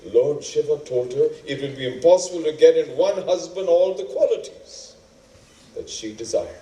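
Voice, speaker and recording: Stage play: male actor as learned storyteller, wry and moralizing, soft mellow tone, distant echoey pickup. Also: on the high side, lively intonation, lightly acted. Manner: deliberate, emphatic, repeats phrases for effect. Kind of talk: theatrical storytelling of epic tales.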